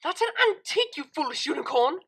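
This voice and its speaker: Animated-pony audiobook part: woman as refined young mare, high and bright, prim, articulate, lecturing. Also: brisk, exaggerated voice. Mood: outraged.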